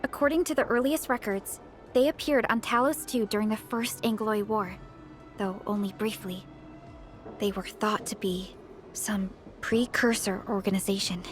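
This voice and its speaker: A woman playing a composed, knowledgeable, earnest young colleague in a video game, with a measured, explanatory delivery. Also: mid-range voice, fairly even intonation, faint music behind, lightly acted. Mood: thoughtful, informative. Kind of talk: video game story voice acting.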